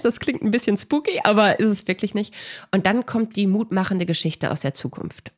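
The kind of deep-voiced woman from the Ruhr you would hear as a podcast guest, recounting her own life with heartfelt emphasis. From Ruhr area, Germany. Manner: effusive, emphatic wording. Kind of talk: personal storytelling in an interview.

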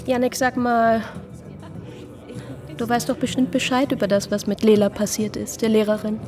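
A woman's voice: on the high side, fairly even intonation, moderate pace, lightly acted. An actress playing a concerned, chatty female café keeper who is informal and inquisitive. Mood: curious, concerned. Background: music.

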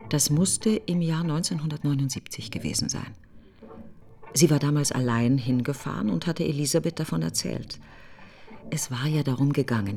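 An actress playing a grieving, fearful woman in a literary radio drama monologue, speaking in halting, deliberate fragments with a breathy, often nearly whispered voice. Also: deep voice, dark tone. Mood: reflective. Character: grieving, fearful, brooding woman mourning her best friend.

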